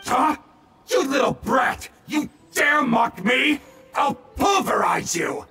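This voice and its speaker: A snarling masked bandit. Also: male voice, very high voice, sing-song, slow, raised voice, music in the background, exaggerated voice. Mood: furious. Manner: threatening, snarling and mocking. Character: masked bandit thug, menacing and hot-tempered. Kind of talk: video game voice acting. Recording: roomy and distant game audio.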